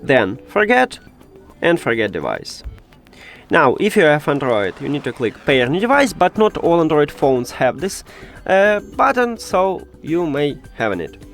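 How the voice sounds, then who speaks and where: A man giving a step-by-step tech tutorial, with hesitant, halting phrasing. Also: on the high side, sing-song, vocal fry, fairly bright tone, soft background music.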